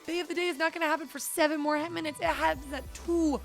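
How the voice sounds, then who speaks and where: A woman's voice: high-pitched, steady tone, fast talker, gravelly, faint music behind. A woman hosting a live gaming show, chatting in a playful, giggly way. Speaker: stream show host. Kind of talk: casual live-stream chat.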